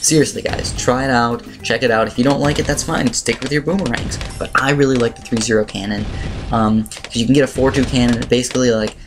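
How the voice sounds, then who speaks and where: A man with a slightly raspy voice giving casual, rambling game commentary as he explains strategy. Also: medium pitch, fairly even intonation, fast. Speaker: gaming video host. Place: voice-over on screen-recorded gameplay. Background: music.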